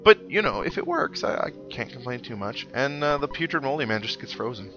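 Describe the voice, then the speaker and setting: A man's voice: medium pitch, big pitch swings, brisk. A man giving casual, rambling and wry commentary as he plays a video game, musing aloud. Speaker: host of a video game playthrough series. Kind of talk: casual let's play commentary. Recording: dull lo-fi mic under loud game music. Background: video game music.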